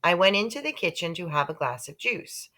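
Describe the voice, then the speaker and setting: A woman narrating a children's book aloud in an expressive storytelling style. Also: lowish voice, fairly quick. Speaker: storybook reader.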